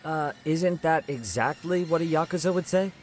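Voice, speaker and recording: A man's voice: fairly high pitch, normal speed, slight background noise, lightly acted. A man playing a cheeky, skeptical friend in an English-dubbed crime game, with a wry, teasing manner.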